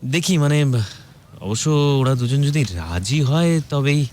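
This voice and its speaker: A man's voice: a little high, animated, takes it slowly, darkish tone, slight background noise. A man acting a polite, earnest and loyal friend in an audio drama, speaking Kolkata Bengali deferentially with some hesitation. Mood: hesitant.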